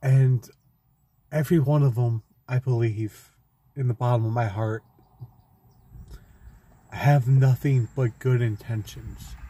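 Slow, husky young man confiding. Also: medium pitch, steady tone, warm tone, no room sound. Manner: slow, halting and heartfelt, with restarts. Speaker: vlogger. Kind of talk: emotional personal vlog. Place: parked car, daytime. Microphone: phone camera close in front.

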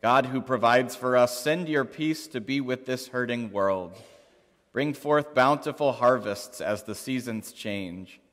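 A man leading a congregation through the creed and prayers with measured, liturgical recitation. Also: medium pitch, a bit bright. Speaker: worship leader. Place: large church sanctuary. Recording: long church reverb.

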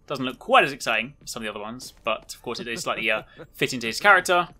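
Male gaming video host, very bright forceful tone, casual commentary. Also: medium pitch, lively intonation, fast talker, faint music behind. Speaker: video host showcasing game cosmetics.

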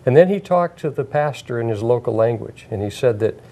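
Older man with a warm, mellow voice. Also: medium pitch, lively intonation, fairly quick. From Washington state, USA. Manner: earnest, reflective storytelling. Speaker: pastor host. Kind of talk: interview. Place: studio set, indoors. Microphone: lapel mic.

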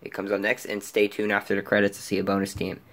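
A young man opening his own video as host with an upbeat, loud greeting, filmed on a phone at arm's length in his bedroom. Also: on the low side, monotone, fast talker. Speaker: channel host. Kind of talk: video intro. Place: bedroom, indoors.

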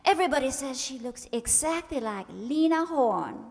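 A woman's voice: sits high, expressive, normal speed, gravelly, darkish tone. A young woman playing a sweet, coy girlfriend in an old-time radio comedy. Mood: coy, coaxing. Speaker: amateur radio-play actor. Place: large indoor stage hall, stand mic. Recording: reverberant hall, stage microphone.

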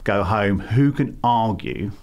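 Low-voiced London man, hesitant. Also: takes it slowly, darkish tone, slight background noise. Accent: London English. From England. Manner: hesitant, many fillers and restarts. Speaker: campaign founder, guest. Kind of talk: interview answers.

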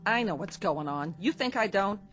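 An actress playing a bitter, sharp-tongued working-class wife, full of sarcastic retorts. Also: female voice, medium pitch, animated, soft background music, lightly acted. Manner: sarcastic, nagging, quick retorts.

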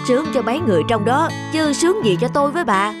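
A man's voice: extremely high pitch, animated, fast. An actor voicing a stingy, hot-tempered old village man in a cartoon, grumbling and indignant. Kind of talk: animated moral tale voice acting. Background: background music.

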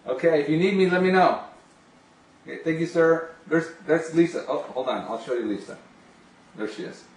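A man's voice: on the high side, normal speed, a little mellow. A middle-aged man chatting casually and gushing with enthusiasm as he demonstrates a product and talks on a call. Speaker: video phone sales representative. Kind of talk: casual demonstration and phone chat. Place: small home office, indoors. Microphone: camera a few steps away. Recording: roomy, distant camera mic with hiss.

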